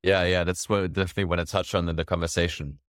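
A man's voice: sits low, little pitch movement, rapid-fire, clean tone, no echo, studio quality. A man hosting a podcast interview, enthusiastic and often tagging sentences with a question. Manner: enthusiastic, ends sentences with "right?". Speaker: podcast host.

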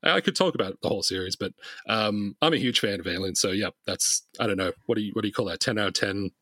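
Guy rambling happily on a podcast, lowish voice but bright, really dry recording. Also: fast talker, dry recording. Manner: rambling, enthusiastic. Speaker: podcast guest, comic creator. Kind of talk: casual chat.

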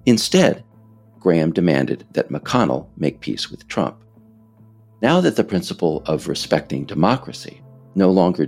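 An American man reading scripted political narration in a measured, emphatic, explanatory way. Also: deep voice, gravelly, a little mellow, husky. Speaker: author narrating his audiobook episode.

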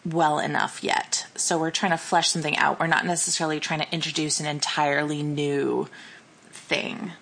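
A woman co-hosts a podcast in a casual conversational tone, her voice sitting notably low. She works through her explanations thoughtfully, often circling back and restarting her phrases. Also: little pitch movement, moderate pace, gravelly, fairly bright tone. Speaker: podcast co-host.

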